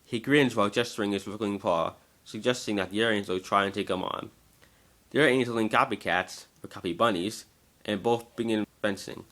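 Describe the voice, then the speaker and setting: Man, lower-pitched, amateur narrator, scripted story reading, occasional stumbles and repeats. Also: sharp tone.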